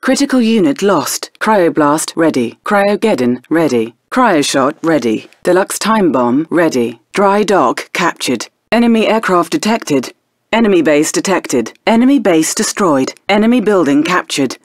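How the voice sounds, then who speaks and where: An actress playing a composed female military intelligence officer, giving crisp, formal status announcements in a strategy video game. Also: on the low side, sing-song, measured pace, no room sound, studio quality. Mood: composed, official.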